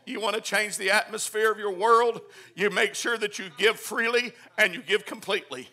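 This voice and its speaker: A man preaching a Pentecostal sermon, swinging from hushed, quick asides to shouted hallelujahs. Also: sits high. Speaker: pastor. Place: church.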